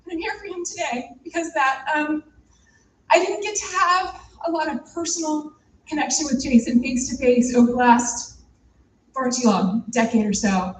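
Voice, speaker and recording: A woman's voice: high voice, normal speed, a little hiss or hum. Woman in her forties, storytelling tribute, distant roomy sound. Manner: storytelling, candid, with fillers. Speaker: eulogy speaker. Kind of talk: personal spoken tribute. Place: theater stage. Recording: echoey stage from a distance.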